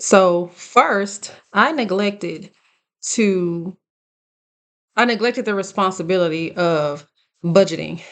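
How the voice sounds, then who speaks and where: A woman in her late thirties giving casual, earnest life advice straight to her viewers, speaking deliberately and checking in with them. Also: lowish voice. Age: late 30s. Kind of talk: casual direct-to-camera advice talk.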